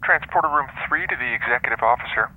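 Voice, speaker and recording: An actor playing a hesitant, deferential transporter chief over an intercom in a science fiction audio drama. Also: male voice, mid-range voice, quick pace, pushed and bright, rough recording. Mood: nervous, deferential. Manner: hesitant, apologetic. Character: nervous, deferential male transporter room chief. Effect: intercom radio filter.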